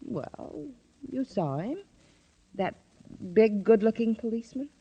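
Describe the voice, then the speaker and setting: An actress playing an eager, coy secretary to a detective in a 1950s radio drama, playful and flirtatious. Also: female voice, fairly high pitch, expressive, slow pace, slightly rough, highs cut off, heavily acted. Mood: coy, teasing.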